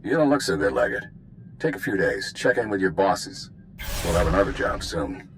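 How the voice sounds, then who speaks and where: A man voicing a gruff, brisk military intelligence handler in a video game, giving clipped orders. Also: deep voice, big pitch swings, over music, heavily acted. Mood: brisk, weary. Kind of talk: video game cutscene voice acting.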